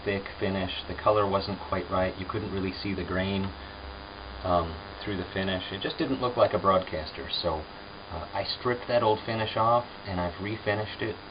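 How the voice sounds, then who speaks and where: A man casually explaining guitar repair work in a halting, unscripted, plain-spoken way, as a craftsman hosting his own demonstration. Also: sits low. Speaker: guitar repairer and restorer, host. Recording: dull lo-fi sound with hum and noise.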